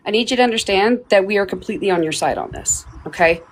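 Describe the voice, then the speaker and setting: A woman hosting a live stream, brisk and polite, cutting in with quick apologies to keep callers on topic. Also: medium pitch. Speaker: host.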